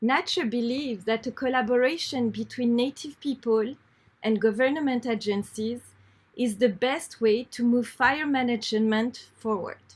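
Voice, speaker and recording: A woman reading an article aloud in a measured, unrehearsed way, repeating phrases when she stumbles. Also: fairly high pitch, slightly rough. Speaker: reader.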